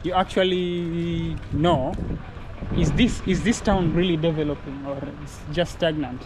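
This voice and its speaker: A man's voice: fairly high pitch, measured pace. A young man vlogging a walking tour outdoors, holding the camera at arm's length and talking eagerly to viewers, often repeating himself. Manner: excitable, repeats phrases, addresses viewers. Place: outdoors on a busy town street. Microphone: camera held at arm's length. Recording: street traffic noise behind. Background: traffic and street noise.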